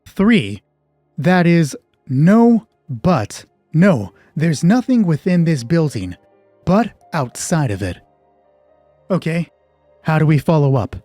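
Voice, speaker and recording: A man narrating a solo tabletop horror roleplay as game master in measured, short descriptive phrases. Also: a little high, very animated, dark tone, no room sound. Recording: music behind the voice. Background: music.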